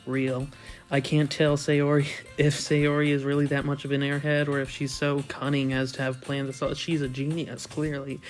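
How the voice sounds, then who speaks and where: A man's voice: fairly high pitch, monotone, normal speed, faint music behind. A young man reading a visual novel aloud for a gaming video, with crude, irreverent asides. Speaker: let's play narrator.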